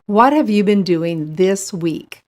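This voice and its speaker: An adult American woman who interviews young people with short scripted questions, asked in a measured, even way. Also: mid-range voice, loud music behind the voice.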